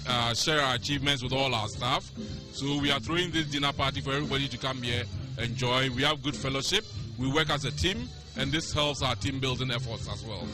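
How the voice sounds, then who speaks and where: A man being interviewed at a festive event, jovial and joking between answers. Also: mid-range voice. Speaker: airline executive interviewee. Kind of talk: on-location interview. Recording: music playing behind at an event.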